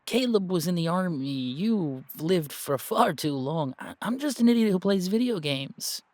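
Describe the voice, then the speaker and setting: A man's voice: high-pitched, lively intonation, a little slow, darkish tone, no room sound, pitched above the performer's own voice. A man playing an anxious young gamer who doubts himself, hesitant and stammering, in an amateur audio roleplay. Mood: flustered self-doubt. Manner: hesitant, stammering, thinking aloud. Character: anxious, self-doubting young male gamer, earnest, reluctant tracker.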